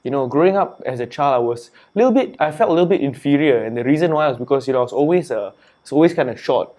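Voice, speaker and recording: A young man from Singapore talks easily as an interview guest, his voice sitting at an ordinary middle pitch. He is relaxed and chatty, leaning on "you know" and "man" as he tells his story. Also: rapid-fire. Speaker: interview guest, author and entrepreneur. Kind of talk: casual interview conversation.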